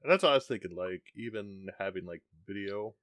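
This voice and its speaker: Low-voiced bright podcast co-host, rambling. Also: male voice, expressive, fairly quick, no echo. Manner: rambling, many ums and restarts. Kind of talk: casual chat.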